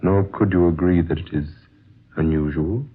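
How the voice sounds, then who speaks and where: An actor playing a wise older man in a 1940s radio drama, a calm spiritual guide who speaks in solemn, measured, cryptic questions. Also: low-pitched, slightly rough. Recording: muffled, noisy vintage radio recording.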